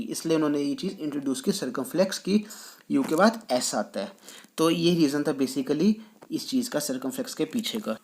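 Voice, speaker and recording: A man teaching a French lesson, patiently repeating each point and reading rules slowly. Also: fairly high pitch, a little mellow. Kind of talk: explaining a language lesson, mixing in English.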